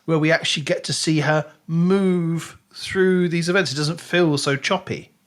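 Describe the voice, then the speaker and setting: A man's voice: a little high, steady tone, a little slow. A man co-hosting a book podcast in casual discussion, often voicing imagined reactions as he talks.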